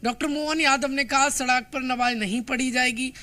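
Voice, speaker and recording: An Indian man reports live for TV news in a very high, sharp and forceful voice. He comments emphatically as he goes, giving opinions alongside the facts. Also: steady tone, moderate pace.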